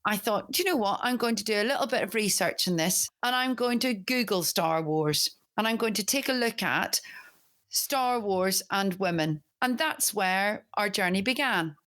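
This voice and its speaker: A woman's voice: mid-range voice, lively intonation, moderate pace, a bit bright. A woman in her forties with a Northern Irish accent, chatting on a podcast with plenty of enthusiasm. She rambles easily from one thought to the next in an ordinary, mid-range voice. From Northern Ireland. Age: 40s. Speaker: podcast co-host. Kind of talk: casual podcast chat.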